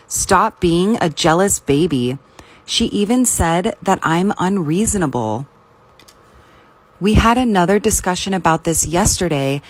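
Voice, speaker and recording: A woman narrating first-person stories from a script. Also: fairly low pitch. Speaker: story narrator.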